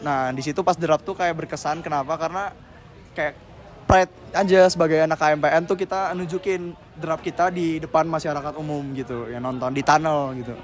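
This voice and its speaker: Young man, a bit high-pitched, loose slangy answers with lots of fillers, outdoor interview. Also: steady tone, brisk. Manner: rambling, slangy, heavy with fillers. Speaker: senior member being interviewed.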